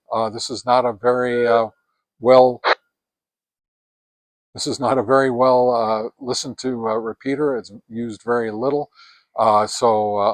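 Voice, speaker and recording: A man with a middle-pitched voice gives an informal product review. His delivery is halting and hesitant, feeling its way through each point. The sound is dry, with very little room around it. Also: dry recording. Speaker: amateur radio product reviewer and host.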